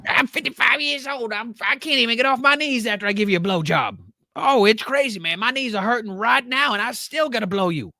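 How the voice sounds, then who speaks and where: A man's voice: very high voice, fairly quick, pushed and bright, dry recording, clean studio sound, voice pushed up, full character voice. A man voicing a groveling, self-pitying middle-aged man who talks crudely and fawns. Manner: crude and fawning.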